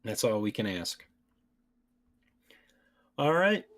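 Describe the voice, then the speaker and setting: A man's voice: lowish voice, sing-song, takes it slowly, a bit bright. A man hosting a casual gaming podcast, chatting informally with his co-hosts. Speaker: podcast host.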